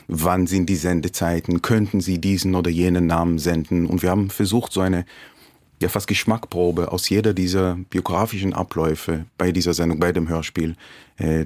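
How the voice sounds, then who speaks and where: Guy from israel, 40s, very low voice, talking off the cuff as interview guest, dry sound. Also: little pitch movement, normal speed, a little mellow, dry recording. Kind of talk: spontaneous interview answers.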